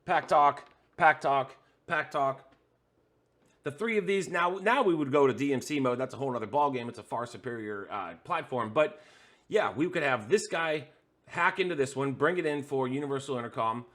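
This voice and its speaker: A man's voice: medium pitch, expressive, moderate pace, fairly bright tone. A man hosting a hands-on tutorial, explaining a setup step by step with frequent "uh" fillers while pointing at the devices in front of him. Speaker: host.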